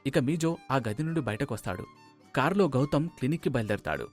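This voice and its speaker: A man narrates a scripted tale in a medium-pitched voice. He works as the storyteller, with faint music playing behind him. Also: lively intonation, a little fast.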